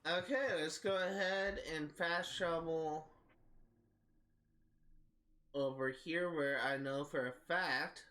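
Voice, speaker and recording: A man's voice: on the high side, fairly even intonation, slow, pauses often, bright, forceful tone. A man with a head cold, congested and scratchy-throated, chatting casually through his game stream with many uhs. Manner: rambling, with frequent uhs and coughs. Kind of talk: casual gameplay commentary.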